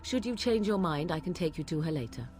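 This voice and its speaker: A woman's voice: fairly low pitch, lively intonation, a little mellow, faint music behind, lightly acted. A woman voicing an aloof, mocking young witch who speaks in a haughty, archaic way, in a video game. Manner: haughty, archaic speech, slow and measured.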